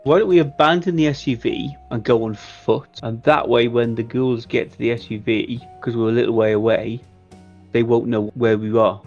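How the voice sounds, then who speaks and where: A man's voice: medium pitch, fairly even intonation, moderate pace, darkish tone, lo-fi sound, faint music behind. A man playing a tabletop game, talking through plans casually in an assertive, wry way. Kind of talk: casual game planning chat.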